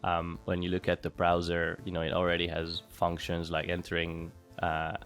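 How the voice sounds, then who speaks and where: A man's voice: sits low, monotone, normal speed, fairly bright tone, faint music behind. A man from Hungary in his thirties answering interview questions in a casual, thoughtful way, with frequent fillers and restarts. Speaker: interviewee, former bootcamp student.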